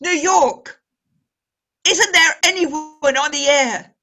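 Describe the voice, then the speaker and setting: Woman as a bright, high-pitched anxious radio operator. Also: slow pace, heavily acted. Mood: desperate. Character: anxious lone radio operator calling for any reply. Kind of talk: radio drama acting, call-sign transmissions.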